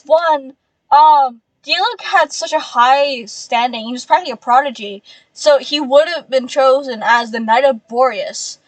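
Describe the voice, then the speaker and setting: A woman casually reacting to a story game as she plays, excitable and exclamatory, with casual swearing. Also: sits high, unhurried, dry recording, rough recording. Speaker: game streamer.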